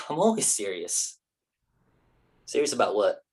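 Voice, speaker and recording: A man's voice: fairly low pitch, wildly swooping pitch, a little slow, darkish tone, slightly rough, full character voice. A man playing a theatrical, flirty, self-pitying actor in his mid-thirties in a staged play reading. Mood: glib. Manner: whiny, theatrical, pretentious.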